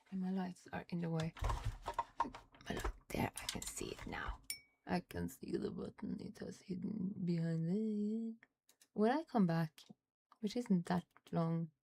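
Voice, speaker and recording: A young Norwegian woman streaming live, chatting casually and muttering and swearing at her software as she struggles with it. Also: lowish voice, a little slow, creaky, long pauses, background noise. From Norway. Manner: frustrated, swearing, muttering under her breath. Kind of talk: casual livestream chat while fiddling with software.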